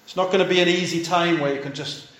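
A man's voice: high voice, fairly even intonation, quick pace, a bit bright, reverberant. A fifty-year-old male pastor preaching a sermon, urging his listeners on in short, repeated, earnest phrases.

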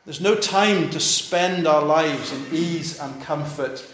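Man, fifty, pastor, earnest sermon, short repeated phrases, slightly high voice, echoey church. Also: slow, fairly bright tone.

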